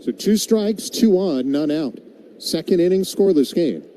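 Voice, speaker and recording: Dark-voiced man calling baseball on radio. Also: high voice, lively intonation, unhurried, low-quality recording. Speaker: play-by-play baseball announcer. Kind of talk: live radio baseball commentary. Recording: background noise of an outdoor ballpark.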